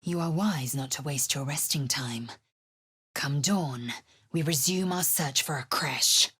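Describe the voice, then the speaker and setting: Casting: proud, fierce alien warrior woman for a fantasy game; low husky voice, curt and scornful, very dry sound. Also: unhurried, a bit bright, dry recording, full character voice. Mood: cold, disdainful. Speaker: voice actress in a fantasy video game. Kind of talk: dramatic fantasy game voice lines.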